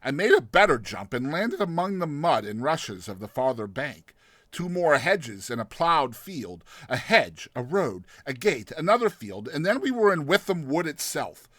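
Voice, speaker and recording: A man narrating a literary short story in a theatrical, expressive storytelling manner. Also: a little high, moderate pace, dry recording.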